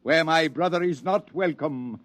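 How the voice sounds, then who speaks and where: An actor playing a gentle old wanderer who speaks in a biblical, preacher-like cadence in a 1950s radio drama. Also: male voice, on the high side, a little slow. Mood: solemn.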